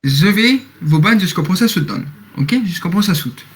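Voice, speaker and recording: An eighteen-year-old man moderating a roleplay game server over voice chat, speaking in a halting way and repeating himself. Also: high voice, lively intonation.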